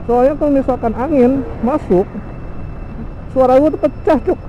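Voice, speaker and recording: A man's voice: extremely high pitch, normal speed, lo-fi sound. A young man from Indonesia chatting casually and jokingly while riding a motorcycle, speaking through a helmet-mounted camera mic with wind and engine noise. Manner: slangy, joking, laughs often. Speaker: motovlogger. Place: outdoors on a motorcycle on a tree-lined road. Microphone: helmet-mounted action camera mic.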